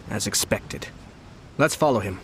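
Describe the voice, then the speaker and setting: An actor voicing a calm, calculating male noble in a video game, composed, measured and refined. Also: fairly low pitch, slight background noise. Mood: sly, satisfied. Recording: game music behind the voice.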